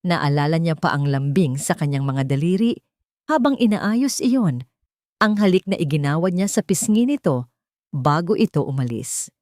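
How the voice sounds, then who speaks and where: A woman with a fairly low voice narrates a scripted romantic drama. Her delivery is measured and solemn, and the sound is dry, with very little room in it. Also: expressive, darkish tone, no room sound. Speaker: story narrator.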